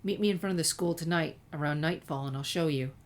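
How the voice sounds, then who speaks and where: Woman as a low-voiced guarded teenage girl. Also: quick pace, close to an everyday voice. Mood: casual. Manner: low-key, evasive, casual. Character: guarded, anxious teenage girl with rough past.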